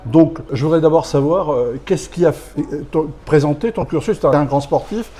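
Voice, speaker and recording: A man's voice: on the high side, normal speed, warm tone. A man who hosts interviews for a business association, asking long, winding questions full of fillers.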